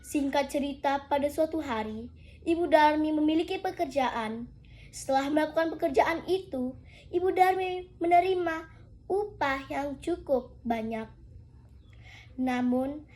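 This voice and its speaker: Young female contest storyteller, very high voice, deliberate dramatic folktale narration. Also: darkish tone.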